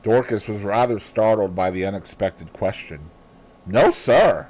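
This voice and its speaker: A man narrating an audiobook in an expressive, scripted reading style. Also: lowish voice, moderate pace, rough recording.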